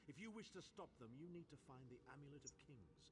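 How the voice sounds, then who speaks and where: An actor playing a haughty, sardonic older chancellor in a video game, speaking formally and with dry sarcasm. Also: male voice, a little high, very animated, fast talker. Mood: grave, urgent. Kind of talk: video game character voice acting. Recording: clearly noisy game capture with ambience.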